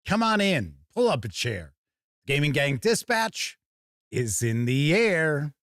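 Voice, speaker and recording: Male host, hoarse, slightly raspy, upbeat and folksy, higher voice, dry close sound. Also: very animated, slow pace, a bit bright, no echo. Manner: upbeat, folksy greetings, chatty. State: hoarse, under the weather. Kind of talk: casual live-stream chat. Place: home studio.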